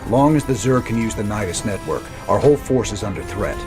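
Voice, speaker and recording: A man's voice: lowish voice, expressive, brisk, music in the background, natural voice. A man playing a weary, self-assured rebel commander in a game cutscene, laconic and folksy. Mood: grave, measured. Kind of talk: video game cutscene acting.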